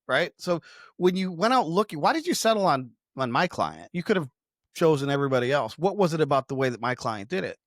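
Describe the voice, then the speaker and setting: A man's voice: medium pitch, dry recording. Man explaining, dry close sound. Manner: emphatic, acts out imagined client quotes. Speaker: podcast co-host explaining marketing. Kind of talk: conversational explanation, business podcast.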